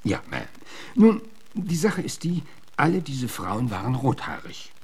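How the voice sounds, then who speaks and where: An actor playing a gruff, plain-spoken middle-aged frontier marshal in a German radio drama, halting, folksy and awkwardly courteous, with a gravelly voice. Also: male voice, medium pitch, big pitch swings, exaggerated voice. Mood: reassuring, confiding. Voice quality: gravelly, husky.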